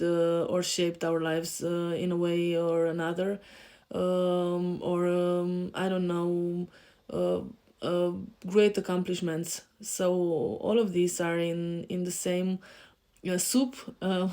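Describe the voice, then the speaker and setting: A woman in her thirties with a Romanian accent and a low, mellow voice. She introduces herself to camera as a podcast host, hesitant, with frequent fillers and restarts. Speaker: podcast host and life coach. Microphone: facing camera.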